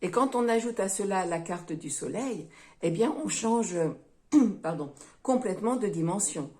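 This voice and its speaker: Slow, mellow-voiced woman reading tarot. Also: mid-range voice, animated. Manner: gentle, unhurried, with frequent hesitations; addresses viewers as friends. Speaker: tarot reader. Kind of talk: astrology tarot reading to viewers.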